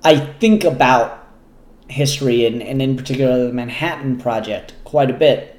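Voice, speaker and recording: A man hosting a casual, unscripted review show, talking in a halting way with pauses mid-sentence and casual swearing. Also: mid-range voice, darkish tone. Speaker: comic book reviewer and show host. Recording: roomy, echoey room sound.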